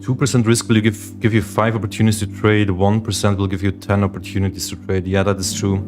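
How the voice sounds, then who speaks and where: Low-voiced swiss guy streaming live, calls everyone guys, calm music going behind him. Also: quick pace. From Switzerland. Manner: chatty, addresses viewers as guys, reads chat aloud. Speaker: livestream host, day trader. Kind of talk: casual livestream chat. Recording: meditation music playing behind the voice.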